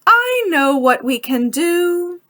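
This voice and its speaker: A woman voicing a gentle, calm male frog in a storybook reading, soft and steady. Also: extremely high pitch, slow, voice pushed up, heavily acted. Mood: reassuring.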